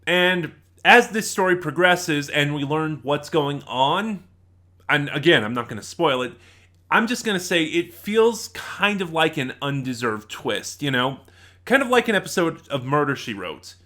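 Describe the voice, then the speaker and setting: A man's voice: on the high side, expressive, a little slow, bright, forceful tone. A man hosting a casual spoken review in a conversational way, often hedging and adding asides. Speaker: host of a review channel.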